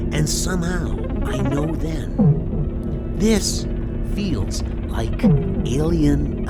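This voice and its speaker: Man acting a shaken superhero, earnest, halting, intense, very animated, dramatic comic dub, music bed. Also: fairly high pitch. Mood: uneasy, awed. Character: shaken, earnest young superhero recounting his ordeal.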